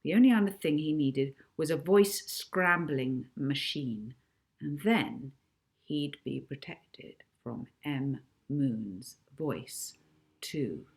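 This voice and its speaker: Woman, lowish pitch, slow pace, expressive children's audiobook narration, deliberate animated storytelling. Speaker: author reading own children's novel.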